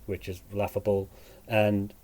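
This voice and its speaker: A man with a northern English accent tinged with Sheffield, chatting as a podcast guest in a halting, wry way. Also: on the low side, monotone, darkish tone. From England. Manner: halting, self-correcting, dryly wry. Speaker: podcast guest, musician and teacher. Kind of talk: casual podcast conversation.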